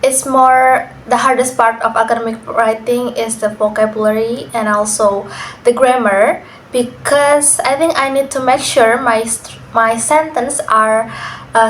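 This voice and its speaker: A young woman whose first language is Indonesian, answering and asking questions in English in a calm, measured way, with frequent "mm" and "uh" fillers. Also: fairly high pitch. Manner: measured, careful, with many "mm" and "uh" fillers. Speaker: student interviewer and interviewee. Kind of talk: casual pair interview in English. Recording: echoey room, faint background noise.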